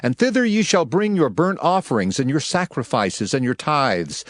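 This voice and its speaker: An actor playing a stern prophet delivering divine law in a dramatized scripture reading, emphatic and declamatory. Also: male voice, fairly high pitch, expressive, normal speed, no echo, lightly acted. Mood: stern, commanding. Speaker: actor in dramatized audio Bible.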